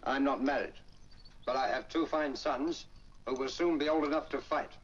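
An actor playing a middle-aged, cold and militaristic German soldier in 1940s British film style, speaking tersely. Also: male voice, mid-range voice, steady tone, normal speed, lightly acted. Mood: cool, proud. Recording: muffled, crackling 1940s film soundtrack.

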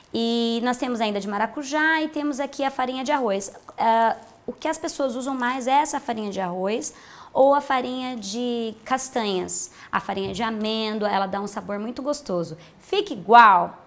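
A woman in her thirties with a Brazilian accent, a nutritionist explaining diet in a TV health interview, patient and plain-spoken, on a lapel mic. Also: medium pitch. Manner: explanatory, patient, uses simple comparisons. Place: bright room with large windows.

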